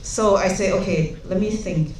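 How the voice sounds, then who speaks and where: A woman's voice: medium pitch, normal speed, a little mellow, distant mic, slight background noise. Chatty woman speaking in an echoey hall. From Singapore. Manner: humorous, chatty storytelling with many fillers. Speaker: conference speaker. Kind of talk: conference talk with anecdotes. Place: large conference hall.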